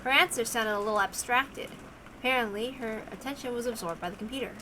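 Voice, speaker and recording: High-voiced woman narrating a visual novel. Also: fast talker, bright, forceful tone, a little hiss or hum, rough recording. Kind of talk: dramatic scripted narration.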